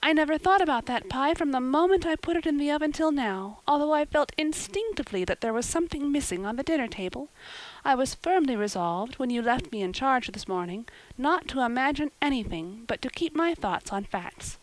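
A woman's voice: sits high, expressive, a little fast, voice pushed up, low-quality recording. A woman voicing an imaginative, earnest young storybook girl who gushes and pleads in wordy, romantic speeches. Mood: earnest, gushing.

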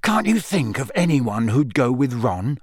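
Awkward, straightforward teen lad read by a man, storybook hero, polite and direct, animated pitch, dry sound. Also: normal speed, no echo. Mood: hopeful. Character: earnest, awkward, straightforward teenage boy. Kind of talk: audiobook character acting.

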